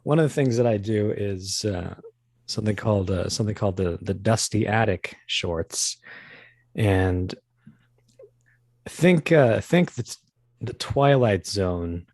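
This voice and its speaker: A man in a casual interview as a voice actor guest, talking in a halting, wry, joking way. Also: on the low side, animated, a little mellow, no echo, clean studio sound.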